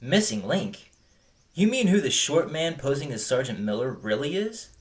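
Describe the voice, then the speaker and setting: A man acting a dry-witted partner in an amateur investigation, speaking in a measured way in an audio drama. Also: medium pitch, very animated, natural voice. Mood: curious.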